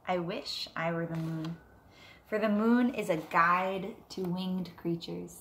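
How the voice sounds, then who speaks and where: A woman in her thirties, a teacher reading a picture book aloud gently and unhurriedly, drawing out words, at home. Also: fairly low pitch, steady tone, takes it slowly. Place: living room at home, on a couch. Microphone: device camera a short distance away. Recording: noticeable room background noise.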